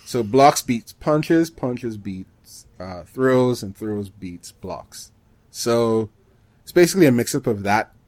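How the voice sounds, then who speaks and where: A man co-hosting a podcast, chatting casually about gaming in a halting way, often rephrasing himself mid-thought. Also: mid-range voice, lively intonation, a little mellow, no room sound. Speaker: podcast co-host.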